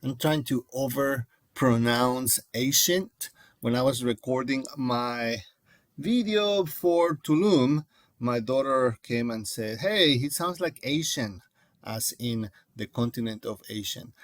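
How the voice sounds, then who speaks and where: A man's voice: medium pitch, slow pace, no room sound, studio quality. A man from Mexico with a Mexican accent and Spanish as his first language, giving an informal, explanatory lecture and addressing his class directly. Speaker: teacher.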